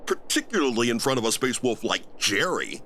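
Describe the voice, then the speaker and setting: A man's voice: mid-range voice, very animated, moderate pace, a bit bright, a little hiss or hum. A man playing a stern, hot-tempered spaceship commander in old-time radio drama style, clipped and commanding. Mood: scolding.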